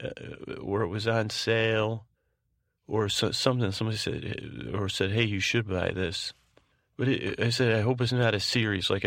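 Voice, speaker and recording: A man with a low voice full of fry speaks as a podcast host, recorded very dry with no room around him. He wanders through informal announcements in a halting way. His sentences often break off, double back and start again. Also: no echo. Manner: halting, meandering, keeps breaking off and circling back. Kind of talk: rambling informal podcast announcements for a bedtime show.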